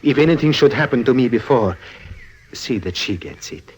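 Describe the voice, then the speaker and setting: An actor playing a weary, devoted father with a secret shady life, earnest and halting, in an old-time radio drama. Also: medium pitch, lively intonation, natural voice. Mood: earnest, resigned. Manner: earnest, halting, formal phrasing.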